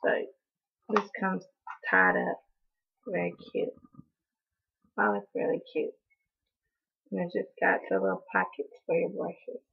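A young woman with a hoarse, deeply gravelly voice talks through a casual haul video, full of ums and apologies for how she sounds. Her voice is husky and rough, and the thin, phone-like recording comes from a webcam close by in a small room. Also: very animated, unhurried, pauses often. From North Carolina. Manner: chatty, apologetic, lots of ums, coughs now and then. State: hoarse from allergies. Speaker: beauty vlogger. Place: small indoor room, in front of a door. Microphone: webcam at close range.

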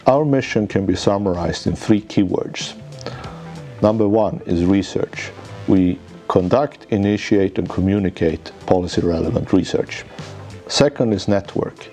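A man in his forties, a managing director and economics professor, giving a formal, measured scripted presentation in an office lounge. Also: deep voice, dark tone. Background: faint background music.

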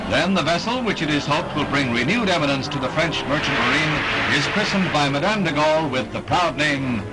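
American newsreel announcer over loud music. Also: male voice, fairly high pitch, lively intonation, brisk, low-quality recording. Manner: dramatic, formal announcer delivery. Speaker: newsreel narrator. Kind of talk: mid-century newsreel narration.

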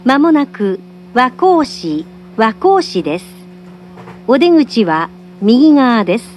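A woman's voice: fairly high pitch, very animated, slow pace, a little hiss or hum, poor audio. A woman giving formal, polite, carefully enunciated scripted train announcements, recorded from a carriage speaker.

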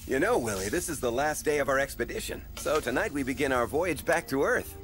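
An actor playing a friendly explorer father on a spaceship in an animated film, with an encouraging, instructive manner. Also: mid-range voice, fairly quick, close to an everyday voice. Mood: warm, upbeat. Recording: music behind the voice.

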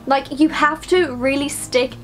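A woman's voice: high voice, fairly even intonation, unhurried. A young woman whose first language is Swedish, chatting casually to camera from a small bedroom, full of fillers. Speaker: vlogger.

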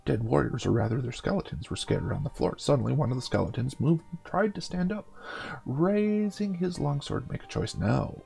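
A man's voice: mid-range voice, animated, brisk, gravelly, mellow, breathy edge, faint music behind. A man hosting a gaming show, reading a fantasy story theatrically with casual commentary.

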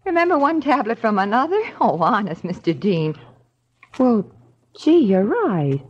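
Brash, swooping, husky-voiced secretary. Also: female voice, medium pitch, sing-song, a little slow. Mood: nosy, pert. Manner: brash, slangy, gossipy. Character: brash, nosy, wisecracking female office secretary. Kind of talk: old-time radio drama acting. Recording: muffled old radio recording.

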